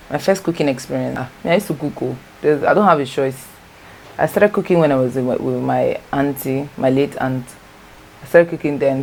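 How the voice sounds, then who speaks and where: Very deep-voiced Nigerian woman chatting. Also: creaky, dark tone, slight background noise. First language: Igbo. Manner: chatty, rambling, self-correcting. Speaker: vlogger. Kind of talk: casual storytime chat. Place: home, natural light.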